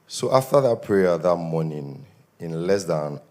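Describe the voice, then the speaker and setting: Deep-voiced Nigerian man giving testimony. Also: lively intonation, measured pace, darkish tone. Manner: earnest, grows more emphatic. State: voice slightly worn from shouting. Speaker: testimony giver at a church service. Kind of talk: spoken testimony.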